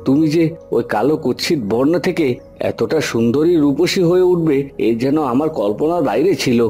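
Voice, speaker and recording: A smitten young village man from a fairy tale cartoon, played by a man. His voice is dark and mellow, earnest and wondering. Faint music sits behind it. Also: a little high, animated, moderate pace, close to an everyday voice. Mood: amazed.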